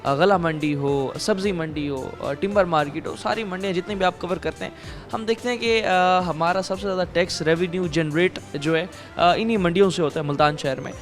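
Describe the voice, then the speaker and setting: A man's voice: high-pitched, soft background music. Earnest male news anchor. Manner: earnest, drawn-out delivery with many filler pauses. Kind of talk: formal news reporting and studio interview.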